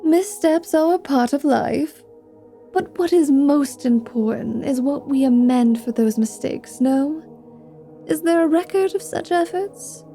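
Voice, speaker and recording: A woman's voice: high voice, a little slow, mellow, faint music behind. A woman playing a sly, flirty, irreverent vampire who poses as a pious paladin, teasing and sarcastic, in an ASMR roleplay drama. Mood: feigned piety. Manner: teasing, sarcastic, feigned piety.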